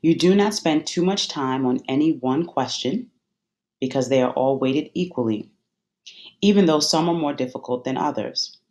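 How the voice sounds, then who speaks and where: A meditation guide giving soothing, measured instructions with deliberate pauses between phrases. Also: mid-range voice, a little mellow.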